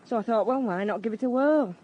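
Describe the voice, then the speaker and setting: A woman's voice: fairly high pitch, quick pace, highs cut off, slight background noise, lightly acted. An actress playing a brash, offhand young working-class woman in a radio crime drama. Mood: offhand, chatty. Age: young adult.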